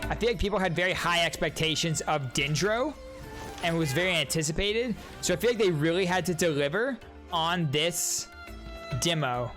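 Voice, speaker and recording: A man's voice: a little high, moderate pace. A young man reacting to game trailers as a content creator, talking in a casual, rambling, chatty way. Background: game trailer music.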